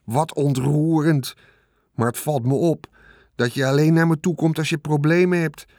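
A man's voice: fairly high pitch, fairly even intonation, moderate pace, a little mellow, no echo, clean studio sound, voice pushed up, natural voice. A man voicing a lazy, sarcastic male rat who grumbles and complains in a sulky, mocking manner.

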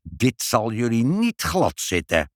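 A man voicing an excitable, accusing male busybody in a storybook, speaking in emphatic, agitated exclamations. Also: medium pitch, slow pace, dry recording, clean studio sound, voice pushed up, exaggerated voice. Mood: triumphant. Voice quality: unpleasant, agitated voice. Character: excitable, triumphant, accusing nosy male neighbour.

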